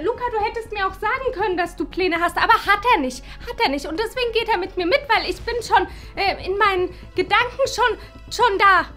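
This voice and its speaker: A woman's voice: very high-pitched, sharp tone. A young woman playing a pushy, sugary-sweet, self-centred roommate in a comedic web drama, gushing and insistent. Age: young adult. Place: living room indoors.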